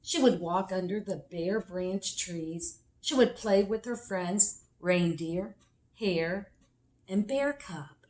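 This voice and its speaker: A woman reading a picture book aloud expressively for children, with deliberate emphasis. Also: mid-range voice, a little mellow, rough recording. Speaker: children's story reader.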